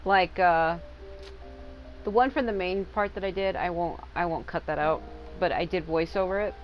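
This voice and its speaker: Female gamer, relaxed off-the-cuff commentary in a lowish voice, game music behind her. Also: moderate pace, low-quality recording. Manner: informal, swears freely. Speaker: gaming video creator. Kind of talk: casual gameplay commentary.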